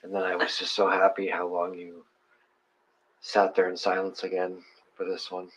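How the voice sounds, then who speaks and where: A man's voice: sits low, completely flat delivery, moderate pace, fairly bright tone. A man co-reading guided meditation and bantering casually, in a teasing, rambling way. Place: live online session from a room. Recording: slightly dull sound, faint noise.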